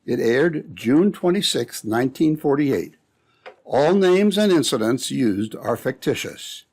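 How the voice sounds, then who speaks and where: A man's voice: medium pitch, sing-song, measured pace, darkish tone. A man narrating as an old-time radio announcer, with scripted, dramatic and emphatic delivery.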